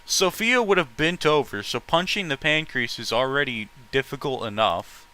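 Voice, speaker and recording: Bright-voiced man mocking a story as he reads. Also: medium pitch, expressive, normal speed, clean tone. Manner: exasperated, blunt, incredulous asides. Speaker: host reading fan fiction aloud. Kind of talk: story reading with mocking, sweary commentary.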